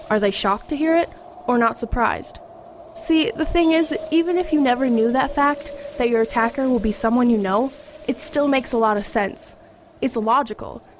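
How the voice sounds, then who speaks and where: Woman as a sardonic, high-voiced teenage girl. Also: a little hiss or hum, lightly acted. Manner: dry, sarcastic, conversational. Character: sardonic goth teenage girl, world-weary narrator. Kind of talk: audio drama narration and dialogue.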